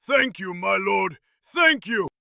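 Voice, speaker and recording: A man's voice: high voice, animated, slow pace, gravelly, pushed and bright, dry recording, studio quality, heavily acted. An actor voicing a servile, gushing underling who begs his betters in a fantasy audiobook. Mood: gushing gratitude. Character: fawning, begging male underling. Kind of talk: audiobook character acting.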